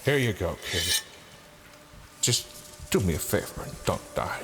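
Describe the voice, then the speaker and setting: Man as a gruff older adventurer, animated delivery. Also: deep voice, a little slow, heavy background noise. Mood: gruff, resolute. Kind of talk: fan audio drama acting. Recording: voice under loud music.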